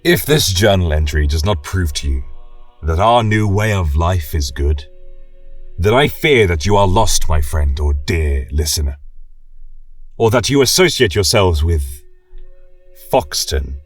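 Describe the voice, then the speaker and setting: Man acting a husky, expressive fantasy chronicler. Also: low voice, heavily acted. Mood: grave, wistful. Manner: formal, deliberate, storyteller-like. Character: learned human chronicler of a fantasy kingdom, proud, wistful. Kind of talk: scripted fantasy lecture narration. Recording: roomy, distant mic with music behind.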